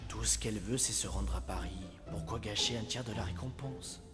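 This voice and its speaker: A man voicing a wily, persuasive older male swindler in an animated film fandub, assured and coaxing. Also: on the low side, a little fast, natural voice. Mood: sly, confident. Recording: long hall reverb with faint music.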